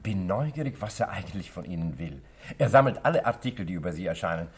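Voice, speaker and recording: An actor playing a jovial, chatty middle-aged doctor at a dinner in a radio drama, with a husky voice. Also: male voice, sits low, big pitch swings, fast talker, exaggerated voice. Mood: chatty, curious. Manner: convivial, chatty. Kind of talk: German radio drama acting.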